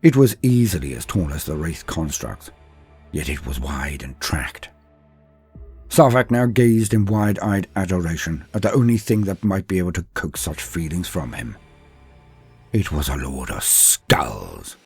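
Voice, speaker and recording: A man narrating a dark fantasy battle tale in a grave, theatrical way, with a husky voice. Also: deep voice, sing-song, a little slow. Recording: background music under the voice. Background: music.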